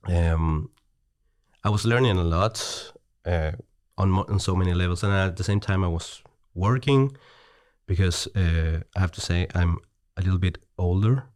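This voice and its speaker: A man's voice: sits low, normal speed, darkish tone, dry recording, studio quality. A middle-aged man from Chile with a Chilean Spanish accent, chatting as a podcast guest, hesitant with many restarts and easy laughter. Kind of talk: casual conversation.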